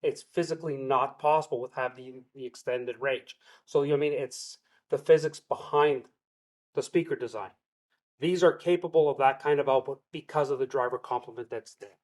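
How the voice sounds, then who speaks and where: A man's voice: medium pitch, moderate pace, a little mellow. A man interviewed as a designer, explaining technical points in short, matter-of-fact statements.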